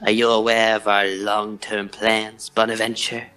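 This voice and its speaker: A man's voice: lowish voice, monotone, measured pace, bright, forceful tone, clear, resonant tone, faint music behind. A man voicing a scheming, commanding alien robot villain with an imperious, formal delivery in a dramatic comic dub. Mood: grandiose, cold.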